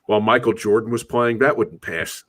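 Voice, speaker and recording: A man's voice: fairly low pitch, moderate pace, a bit bright. A man hosting a casual sports talk show, steering the banter and adding his own opinions.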